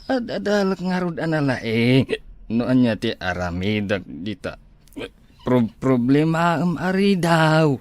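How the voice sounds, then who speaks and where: A man's voice: mid-range voice, very animated, measured pace, dry recording. A man playing an evasive, henpecked lazy husband in an Ilocano radio drama, stammering and pleading with an Ilocano accent. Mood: flustered, evasive.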